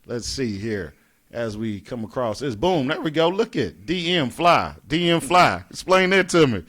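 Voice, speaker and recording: Man, kentucky accent, laid-back host, casual slang, middle pitch. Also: sing-song, normal speed, a bit bright. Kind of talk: casual interview chat.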